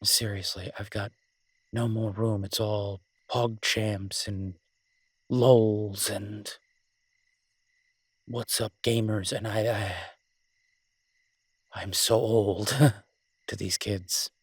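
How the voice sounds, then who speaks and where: Man acting a husky, low-voiced smitten werewolf boyfriend. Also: fairly even intonation, extremely slow, long pauses, dry recording, clean studio sound, full character voice. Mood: playful, self-deprecating. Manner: hesitant, rambling, tender and teasing. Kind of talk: intimate romantic audio roleplay, conversational.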